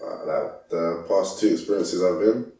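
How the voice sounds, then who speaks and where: Young man, deep mellow voice, easygoing interview guest, roomy distant mic. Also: unhurried. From England. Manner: laid-back, soft-spoken, lots of "like". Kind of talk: casual interview.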